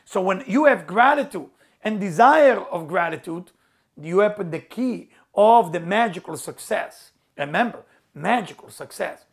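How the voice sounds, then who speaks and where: A man's voice: high voice, animated, measured pace, creaky. A middle-aged man whose first language is Hebrew gives an earnest spiritual motivational talk, repeating key words emphatically in non-native phrasing. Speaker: spiritual teacher.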